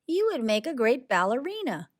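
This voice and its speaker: A woman's voice: fairly high pitch, big pitch swings, normal speed, voice pushed up. A woman voicing a disapproving, dismissive grown-up teacher in a children's storybook, giving patronizing advice. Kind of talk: storybook character voice.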